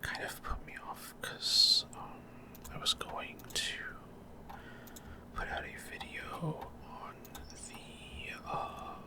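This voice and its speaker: Guy whispering asmr game commentary, breathy, kinda rambly and hesitant, super casual. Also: takes it slowly. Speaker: gaming video creator.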